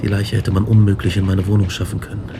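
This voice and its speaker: Obsessed young scientist for radio drama: grave, intense, low-pitched, with a creaky edge. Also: male voice, little pitch movement, fast, heavy background noise. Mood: grave, confessional. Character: Victor Frankenstein, obsessed young male scientist recounting his horrors. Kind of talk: German radio drama acting and narration.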